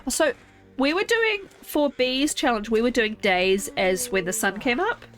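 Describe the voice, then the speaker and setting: A woman's voice: fairly high pitch, animated, a little slow, fairly bright tone, soft background music. Stuffy-nosed woman chatting through gameplay. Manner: chatty, thinking aloud while playing. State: stuffy nose, congested. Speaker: game streamer. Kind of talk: casual live gameplay chat. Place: at home.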